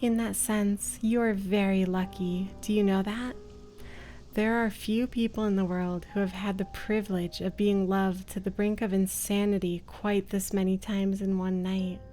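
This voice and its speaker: A woman voicing a lovesick, possessive witch in a visual novel, speaking slowly and formally with menacing tenderness. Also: medium pitch, little pitch movement, warm tone, faint music behind, lightly acted. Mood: possessive, tender. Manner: slow, deliberate, formal. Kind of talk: dramatic visual novel reading.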